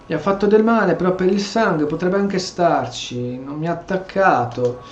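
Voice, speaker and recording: An Italian-accented man casually commentating on a video game, with a voice a bit on the high side. He thinks aloud and repeats himself in a roomy, echoey recording, with clear game music behind him. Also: fairly quick, muffled recording. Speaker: gamer commentator.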